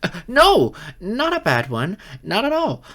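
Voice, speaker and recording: Guy playing nerdy insecure young fox spirit, asmr roleplay, slow and halting, really expressive swings, flustered but earnest. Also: high voice, heavily acted. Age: young adult. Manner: flustered, hesitant, earnest, slightly formal. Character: geeky, insecure young silver fox kitsune on a blind date.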